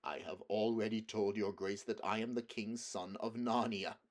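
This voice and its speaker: A man's voice: on the low side, fairly even intonation, normal speed, lightly acted. A man voicing a stern young prince in a storybook reading, formal and firm.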